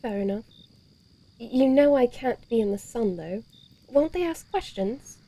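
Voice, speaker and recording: A woman's voice: on the high side, expressive, measured pace, warm tone. A woman playing a bloodthirsty, grumbling female vampire in an audio horror-comedy, speaking dryly and theatrically in old-fashioned phrases. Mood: skeptical, dry.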